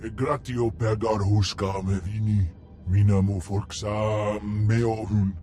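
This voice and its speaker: A man's voice: lowish voice, little pitch movement, slow pace, dark tone. A man voicing a stern alien gangster in a video game, clipped and menacing in an invented language. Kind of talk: video game character acting. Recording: music behind the voice.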